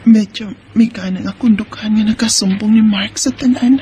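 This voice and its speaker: A woman's voice: medium pitch, steady tone, normal speed, mellow, slight background noise, lightly acted. An actress playing a weary, mistreated wife and mother in a Filipino radio drama, with a Bisaya accent. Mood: sorrowful, confiding. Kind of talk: Filipino radio drama acting and first-person narration. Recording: music behind studio drama voice.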